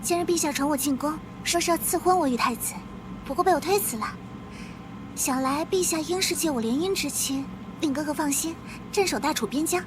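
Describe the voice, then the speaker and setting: A woman voicing a young noblewoman reborn into her past, restrained and quietly sarcastic, in an animated drama. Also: sits high, fairly quick, noisy background, lightly acted. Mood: cool. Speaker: heroine. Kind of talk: Chinese animated drama dubbing.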